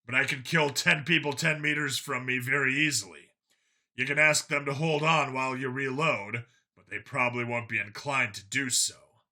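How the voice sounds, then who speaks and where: A man voicing a gruff, stubborn cop and urban gunfighter in an audiobook, dry and emphatic. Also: mid-range voice, steady tone, normal speed, pushed and bright. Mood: dry, wary. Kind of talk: acted audiobook dialogue.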